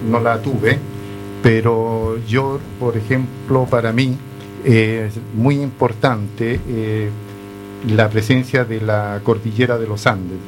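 An older Chilean man talks as a guest on a panel, his low voice barely rising or falling. He picks his words slowly, filling pauses with little hums. Clear music plays underneath. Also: fairly even intonation, poor audio. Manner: measured, hesitant with many fillers. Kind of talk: reflective conversation.

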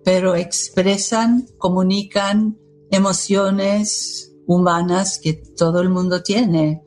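Slow, even-toned American woman. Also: medium pitch, soft background music. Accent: American English. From United States. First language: English. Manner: measured, thoughtful, pausing between phrases. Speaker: poet, interviewee. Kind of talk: reflective interview answers.

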